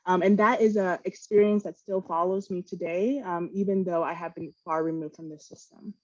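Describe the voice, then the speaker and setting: A woman's voice: lowish voice, fairly even intonation, moderate pace, highs cut off. A woman whose first language is Vietnamese, presenting an online training webinar in an earnest manner, with fillers and restarts, on a video call mic.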